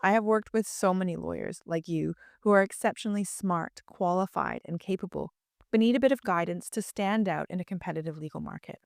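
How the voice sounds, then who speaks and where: A woman lawyer and educator giving a polished, measured scripted ad read. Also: fairly low pitch, vocal fry, no echo, clean studio sound.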